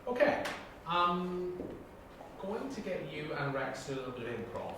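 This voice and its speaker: A man's voice: a little high, very animated, normal speed. A man giving informal instructions as an audition director. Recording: very echoey room, distant mic, noisy.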